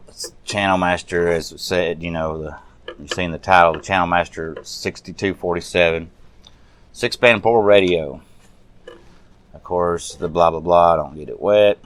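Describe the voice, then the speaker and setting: Low-voiced man talking through a hands-on repair. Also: moderate pace, a bit bright. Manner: unscripted, muttering to self while working. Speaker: radio restoration hobbyist, presenter. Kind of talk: casual hands-on restoration walkthrough.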